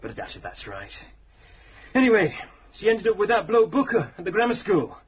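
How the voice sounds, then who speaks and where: An actor playing a blunt, earthy, gossiping police sergeant in a radio drama. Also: male voice, sits high, big pitch swings, brisk, dull audio. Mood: gossipy. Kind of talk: 1970s radio drama acting.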